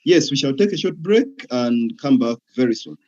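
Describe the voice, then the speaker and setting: A male show host with a mellow, darker voice pauses often as he speaks. The recording sounds somewhat dull and muffled. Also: mid-range voice, expressive. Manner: hesitant, many "uh" fillers. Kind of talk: hosting an interview show.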